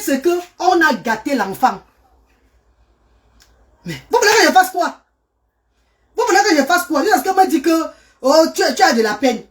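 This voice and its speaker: A woman's voice: high voice, a bit bright. A middle-aged woman, a mother and charity worker giving a fervent, repetitive, pleading personal testimony.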